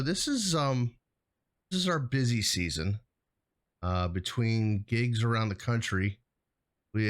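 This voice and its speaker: A man co-hosting a show in a casual, rambling chat, often correcting himself as he goes. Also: lowish voice. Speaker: co-host of a hazardous materials show.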